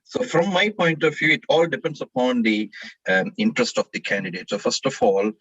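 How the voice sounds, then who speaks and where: A man's voice: mid-range voice, sing-song, brisk, fairly bright tone, slightly rough, dry recording. A man, a doctor on a panel, laying out his view step by step. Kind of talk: online panel discussion. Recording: dry dull call audio.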